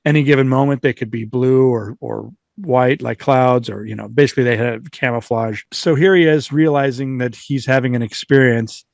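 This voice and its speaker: A man's voice: medium pitch, steady tone, normal speed, gravelly, few pauses, no echo, clean studio sound. A man chatting informally as a podcast host, retelling and reading out accounts, often wandering into asides, with some light swearing.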